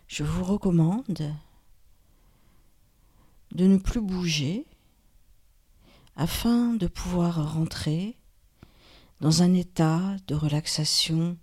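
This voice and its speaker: A woman guides a relaxation meditation in a calm, soothing manner, leaving long pauses. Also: deep voice. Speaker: yoga nidra guide, host.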